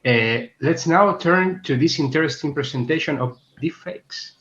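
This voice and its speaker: A man's voice: on the high side, moderate pace, fairly bright tone, slight background noise. A man moderating an event as the meetup host. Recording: muffled, echoey room sound.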